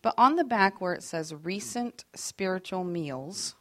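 A lively woman teaching a faith workshop, her voice sitting a little low for a woman. She talks in a chatty, self-deprecating way and acts out the lines she quotes. The sound is dry and close, with little room echo. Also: measured pace. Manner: animated and expressive, acting out quoted lines.

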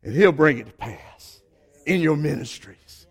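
An older man preaching a sermon, his voice hoarse, building to shouted emphasis. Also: on the high side, expressive, unhurried, big-room sound.

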